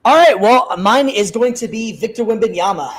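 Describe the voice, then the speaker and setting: High-voiced upbeat male sports host. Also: moderate pace, slight background noise. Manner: upbeat, leads the segment with fillers. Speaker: podcast host. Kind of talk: casual sports talk.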